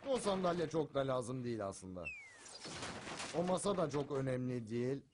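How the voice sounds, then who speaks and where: A man voicing a sarcastic, self-assured, food-loving cartoon cat in an animated dub. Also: medium pitch, moderate pace, lightly acted. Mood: panicked. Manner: wry, self-assured, theatrical. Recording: background music under the voice.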